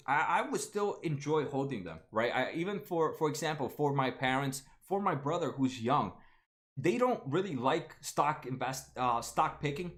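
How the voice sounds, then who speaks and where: A man in his late twenties hosting a casual stock market livestream, talking to viewers by name with lots of fillers and restarts. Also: a little high. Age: 20s. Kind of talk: casual livestream chat reading viewer comments.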